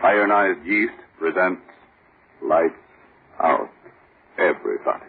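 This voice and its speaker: A man working as a solemn 1940s radio announcer, with a measured, ominous delivery. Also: sits low, creaky, slightly rough. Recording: thin, narrow-band 1940s radio broadcast.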